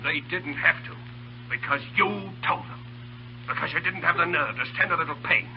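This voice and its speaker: An actor playing a witty, steely British army officer with emphatic, theatrical delivery in old radio drama style. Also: male voice, on the high side, normal speed, lightly acted. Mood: bitter, accusing. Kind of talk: 1930s radio drama acting. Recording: dull, hissy old radio recording.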